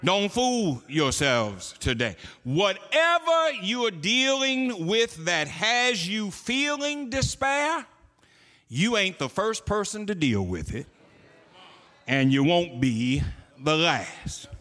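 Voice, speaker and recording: Man, preacher, slow lively delivery, bright tone, long hall reverb. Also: sits high. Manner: preaching with rising emphasis, repeating phrases. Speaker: pastor. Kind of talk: sermon.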